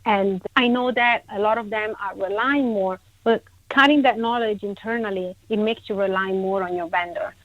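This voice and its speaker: A woman from Italy, a guest in a conversational interview, emphatic and quick to repeat her agreement. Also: medium pitch, no room sound. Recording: tinny phone-line sound, dry.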